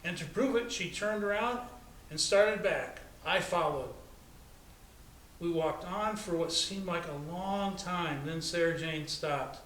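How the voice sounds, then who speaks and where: An American man, a pastor, reading a children's story aloud in an expressive storytelling way. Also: a little high, slow. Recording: echoey, distant, noisy room recording.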